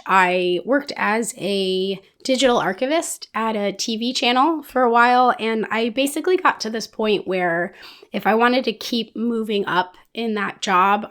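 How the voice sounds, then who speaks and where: A woman hosting a knitting podcast in a casual, rambling chat, her voice hoarse as she recovers from being sick. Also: medium pitch, a bit bright. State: hoarse, under the weather with a recovering lost voice.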